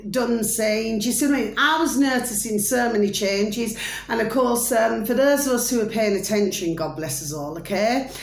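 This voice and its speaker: A woman, chatting loudly and ramblingly to her viewers as she talks through videos, with lots of fillers and repeated asides. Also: mid-range voice. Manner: loud, chatty, rambling, keeps addressing viewers with a pet phrase. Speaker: vlogger reviewing videos. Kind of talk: rambling casual commentary to viewers. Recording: echoey room with faint noise.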